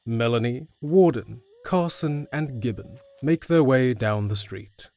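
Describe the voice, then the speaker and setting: Medium-pitched male audio drama narrator. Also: measured pace, dark tone.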